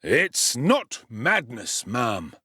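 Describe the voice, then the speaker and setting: A man voicing a pompous, self-important male parish beadle who lectures with slow, stern emphasis. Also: mid-range voice, huge pitch swings, pushed and bright, husky, no echo, clean studio sound, exaggerated voice.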